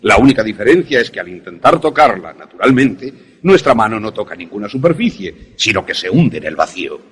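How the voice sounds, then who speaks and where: A man's voice: fairly low pitch, very animated, brisk. A man playing a learned, self-important professor and inventor in a suspense radio drama, lecturing with didactic emphasis. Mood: proud, didactic.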